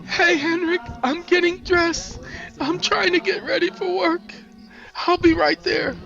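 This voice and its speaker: A man's voice: extremely high pitch, steady tone, unhurried, creaky, bright, forceful tone, highs cut off, soft background music, pitched above the performer's own voice, full character voice. A man voicing a frightened young woman, pleading with urgency in an acted drama. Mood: nervous, stalling.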